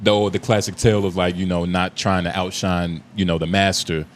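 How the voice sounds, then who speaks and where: An American man with a fairly low voice in casual podcast chat. He works through his points in hedged, slangy phrasing. A little noise sits behind him. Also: little pitch movement, normal speed. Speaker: podcast co-host.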